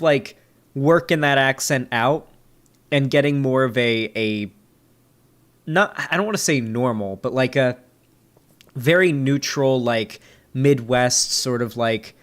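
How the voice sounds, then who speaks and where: A young man from Florida with a slight Southern accent, talking in a casual, rambling way full of fillers, his voice a little nasal after a sneeze. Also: medium pitch. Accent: slight Southern American. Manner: rambling, filler-heavy, frank. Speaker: streamer reviewing debates. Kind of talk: casual commentary chat.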